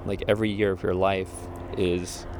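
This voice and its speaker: A man's voice: sits low, flat delivery, normal speed, darkish tone, a little hiss or hum. A man in his forties musing casually about getting older, rambling with restarts and quoted asides. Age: 40s. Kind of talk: casual reflective monologue.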